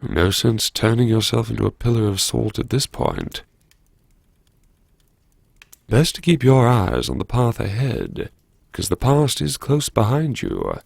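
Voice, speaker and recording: A man performing an audiobook monologue as a wry, haunted university vice-chancellor, brooding and talking to himself in halting phrases. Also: mid-range voice, sing-song, creaky, a little mellow, no echo, full character voice. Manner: brooding, wry, halting self-address with sighs. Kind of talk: dramatic first-person interior monologue.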